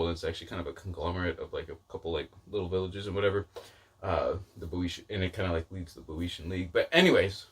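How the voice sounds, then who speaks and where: Low voiced dude just chatting to camera about books, goes off on tangents, lots of filler words. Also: male voice. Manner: rambling, tangent-prone, peppered with "like" and "you know". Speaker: book reviewer vlogger. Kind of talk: casual rambling chat to camera.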